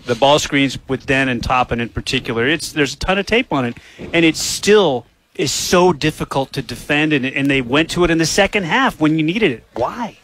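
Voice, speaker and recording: A man's voice: medium pitch, a bit bright, poor audio. A man who is a radio broadcaster, asking a coach polished, upbeat questions after a game. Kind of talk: postgame radio interview.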